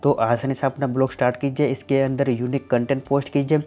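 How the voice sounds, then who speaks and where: A man's voice: medium pitch, little pitch movement, fast, dark tone. Man answering viewer tech questions. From Gujarat, India. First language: Gujarati. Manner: plain explanatory, repeats "definitely" and "simply". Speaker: tech channel host.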